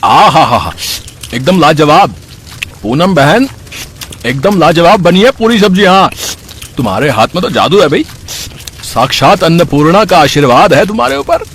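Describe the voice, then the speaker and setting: Man acting an effusive, high-pitched delighted customer. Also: very animated, normal speed, pauses often, a little hiss or hum. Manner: loud, exclaiming. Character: delighted male street-food customer, effusive and admiring.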